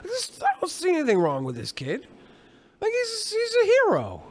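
A man's voice: extremely high pitch, wildly swooping pitch, normal speed. A man co-hosting a podcast, chatting casually in a jokey, rambling, profane way. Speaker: podcast co-host.

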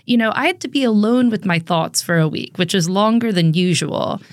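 A woman's voice: mid-range voice, expressive, fairly quick, no echo. Young woman producer, voice still mending, dry humor, close dry mic. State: recovering from losing her voice. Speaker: producer and game guest. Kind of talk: casual comedy game chat.

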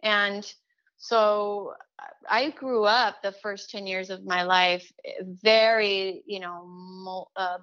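A woman's voice: mid-range voice, steady tone, slow pace, fairly bright tone, clean tone. Earnest woman on a dull lo-fi recording. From Chile and the United States. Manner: earnest, emphatic, often repeats phrases. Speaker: musician, singer-songwriter and teaching artist. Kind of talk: conversational interview answers.

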